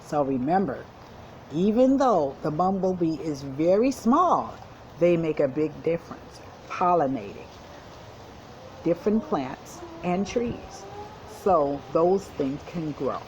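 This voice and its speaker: A woman's voice: deep voice, very animated, slow, a little mellow, muffled recording, slight background noise. A woman school counselor reading a storybook to children and explaining it in an instructive way. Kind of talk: children's storybook read-aloud with commentary.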